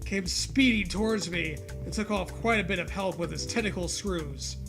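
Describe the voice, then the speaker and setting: A man's voice: high voice, moderate pace, over music. A man reading a story aloud for comedy, breaking off to swear and riff casually.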